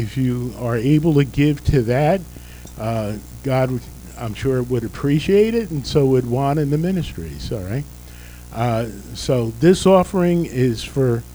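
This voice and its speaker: A man's voice: mid-range voice, dark tone, low-quality recording. An older man, a pastor, losing his voice, leading a church service with prayer and folksy, joking announcements. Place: church sanctuary.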